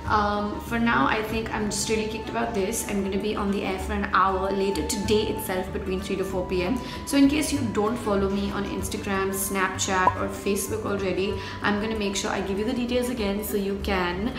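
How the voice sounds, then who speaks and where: A woman's voice: mid-range voice, fairly even intonation, fairly quick, few pauses, music in the background. Chatty young woman vlogging. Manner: chatty, upbeat, peppered with "like" and "um". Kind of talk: casual vlog talk to camera.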